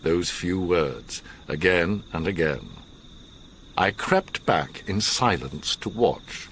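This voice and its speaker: An actor playing an older, learned gentleman who recounts his past gravely, with measured, theatrical pauses, in a radio drama. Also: sits low, expressive, a little hiss or hum, full character voice. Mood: intense, awed.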